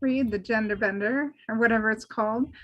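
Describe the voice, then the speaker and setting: A woman's voice: a little high, brisk, muffled recording. A woman from Southern Alberta, an actor and writer, chatting in a friendly, slightly scattered way over a video call. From Southern Alberta, Canada. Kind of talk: casual chat on a video call.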